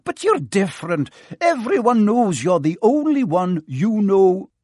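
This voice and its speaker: A man's voice: high voice, big pitch swings, a little slow, darkish tone, no echo, studio quality, exaggerated voice. A man voicing a stern, prim older woman professor of witchcraft who talks in a clipped, impatient way. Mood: pressing. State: trembling with shock at the end. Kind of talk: audiobook character voice.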